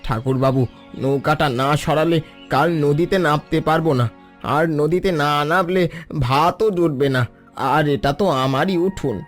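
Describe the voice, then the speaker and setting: A poor but proud fisherman played by a man in a cartoon drama. He speaks high for a man, earnest and pleading over soft music. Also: a little slow, close to an everyday voice. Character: poor, proud, long-suffering fisherman, a father. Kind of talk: scripted cartoon dialogue.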